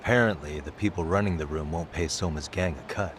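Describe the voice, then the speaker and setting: A man playing a cool, polite investigator in a video game, speaking in a measured, questioning way. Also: low voice, background noise, close to an everyday voice. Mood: curious, composed. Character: cool, polite male investigator, composed and probing. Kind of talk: video game cutscene acting.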